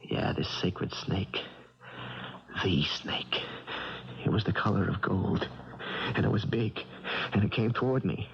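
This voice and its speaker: An actor playing a troubled, earnest prizefighter haunted by a dream, halting and intense in a 1970s radio drama. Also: male voice, on the low side, breathy edge, heavily acted. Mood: haunted, fearful. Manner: halting, repeating words, intense, colloquial. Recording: dull vintage radio recording.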